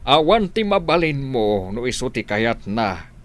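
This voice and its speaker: A man's voice: medium pitch, big pitch swings, unhurried, fairly bright tone, dry recording. A man playing a lenient, exasperated village father in an Ilocano comedy radio drama, reasoning expressively in a Filipino accent.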